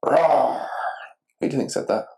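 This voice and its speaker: A man reading a children's picture book aloud expressively and deliberately, putting questions to the listener. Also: mid-range voice, big pitch swings, vocal fry, mellow. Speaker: story reader.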